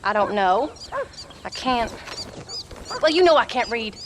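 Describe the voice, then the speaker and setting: An actress playing a sharp-tongued, proud frontier wife and mother with an American frontier drawl, in a video game. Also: a little high, lively intonation, unhurried, a little hiss or hum. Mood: suspicious, needling. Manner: sassy, teasing. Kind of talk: video game dramatic dialogue.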